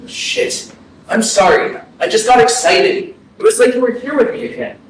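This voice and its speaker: A man's voice: very high-pitched, normal speed. A man playing a grieving, guilt-ridden brother, pleading and defensive in a staged play reading. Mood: defensive, apologetic. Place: arts centre theatre. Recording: very echoey stage, distant mic, faint noise.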